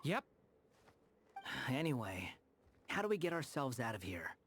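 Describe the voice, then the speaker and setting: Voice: medium pitch, sing-song, unhurried, a bit bright, a little hiss or hum. An actor playing an aloof, sardonic young male wanderer in a video game, speaking in a dry, unimpressed way. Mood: curt. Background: music.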